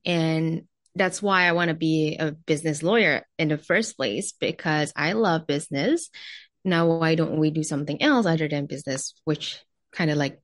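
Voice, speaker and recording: A woman's voice: deep voice, no room sound. A young adult woman with an Indonesian accent, a guest chatting casually about her background in an interview. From Jakarta, Indonesia. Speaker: podcast guest. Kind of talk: casual interview chat, telling personal background.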